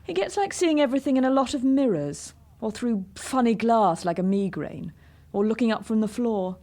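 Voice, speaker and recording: Young daughter, radio drama: mellow, soft-edged tone with restless swoops, sulky, bored and blunt. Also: female voice, a little high, normal speed. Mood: sullen. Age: young adult. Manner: flat-worded, blunt, sulky. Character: sullen, bored, self-destructive young English daughter. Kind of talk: British radio drama acting.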